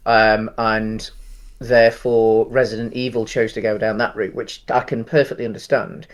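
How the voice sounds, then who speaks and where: A man's voice: fairly low pitch, flat delivery, normal speed. A man co-hosting a casual film discussion, talking in an explanatory, hedging way. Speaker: podcast co-host.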